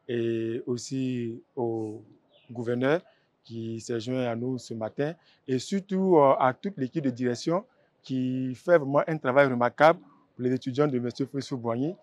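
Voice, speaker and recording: A man with an Ivorian accent giving an earnest, semi-formal spoken tribute in a measured way. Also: mid-range voice, darkish tone, no room sound.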